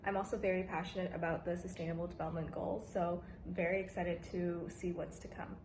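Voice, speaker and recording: A woman's voice: on the low side, level tone, fast. A seventeen-year-old girl from Hong Kong reading a self-introduction for a youth summit. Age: teens. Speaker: panelist. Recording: noisy home recording.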